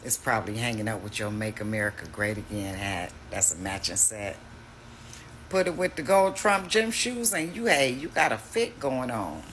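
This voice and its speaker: Animated mocking man, bright voice. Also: on the low side, moderate pace, slight background noise. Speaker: host reacting to a video. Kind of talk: casual reaction commentary.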